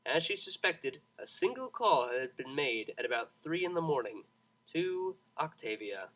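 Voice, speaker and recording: A man reading a scripted story as an expressive audiobook narrator, with a dramatic, wry delivery. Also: a little high, a little slow, low-quality recording. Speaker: narrator, fan fiction audiobook reader.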